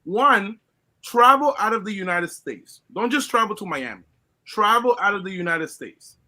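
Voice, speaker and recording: A man from Colombia speaks in a high voice with a bright, forceful edge. He is a guest on a live stream chat and talks in an earnest, lecturing way, tripping over his restarts as he pushes through. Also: animated, moderate pace. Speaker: guest caller. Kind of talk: casual opinion talk.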